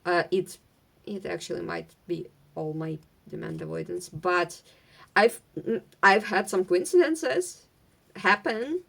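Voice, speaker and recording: Slow, halting Ukrainian-accented woman chatting. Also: on the low side, gravelly. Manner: rambling, halting, many fillers and restarts; stutters at times. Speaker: vlogger. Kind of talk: casual chat while sorting craft supplies. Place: at home among craft supplies.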